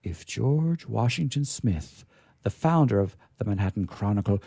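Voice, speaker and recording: A man reads vintage science fiction aloud with a formal, oratorical air. His voice is dark and relaxed with a gravelly creak, recorded close and dry. He phrases things theatrically, pausing for emphasis and stretching key words. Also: lowish voice, no room sound. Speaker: reader at a literature reading. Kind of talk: dramatic reading of a vintage science fiction story.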